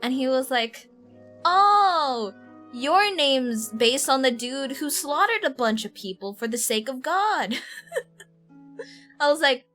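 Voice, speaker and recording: Giggly high-voiced woman livestreamer over music. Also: slow. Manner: giggly, animated, rambling, slangy. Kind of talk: casual livestream chat while playing a game. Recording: game music behind the voice. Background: video game music.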